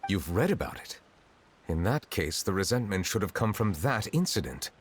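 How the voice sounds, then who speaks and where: Brief: a reserved game judge, deep low voice, measured and formal. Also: male voice, sing-song, a little hiss or hum. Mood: calm. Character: composed male chief justice, formal and reserved. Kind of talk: video game voice acting.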